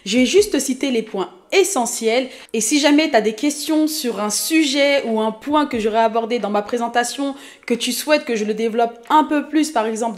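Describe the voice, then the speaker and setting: Chatty woman in her thirties, higher voice. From Haiti. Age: 30s. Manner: chatty, self-mocking, addresses viewer directly. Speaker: YouTube vlogger. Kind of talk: casual self-introduction to viewers.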